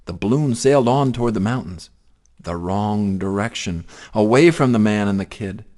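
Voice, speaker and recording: A man narrating a children's story in an expressive, theatrical way. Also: lowish voice, moderate pace. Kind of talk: dramatic children's story reading.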